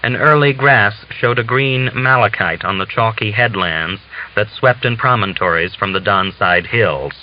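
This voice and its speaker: A man reading literary narration as an audiobook narrator, in a measured, deliberate storytelling manner. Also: fairly low pitch. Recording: dull hissy lo-fi recording.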